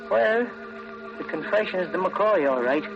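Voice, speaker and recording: A man's voice: high-pitched, expressive, moderate pace, creaky. A man acting a plain-spoken police detective in a 1940s radio drama, slangy and matter-of-fact. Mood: businesslike. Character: plain-spoken male police detective reporting to his chief. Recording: muffled vintage radio with music.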